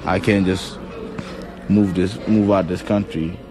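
A man's voice: sits low, steady tone, unhurried, over music. Reflective young man from Sudan. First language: Acholi. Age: 20s. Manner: reflective, loose informal grammar, frequent "you know". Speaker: interviewee. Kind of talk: casual interview talk. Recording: crowd noise around speaker.

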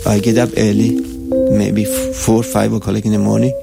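Older Iranian-accented man, gravelly and low. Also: little pitch movement, over loud music. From Iran. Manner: halting, searching for words, earnest. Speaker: shop owner. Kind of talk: interview storytelling.

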